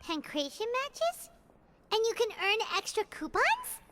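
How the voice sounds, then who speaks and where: A woman voicing a tiny childlike game companion, excitable and suspicious, with a squeaky voice. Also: measured pace, full character voice. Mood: curious. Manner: refers to self in third person, exclaiming. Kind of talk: video game voice acting. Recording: music playing behind.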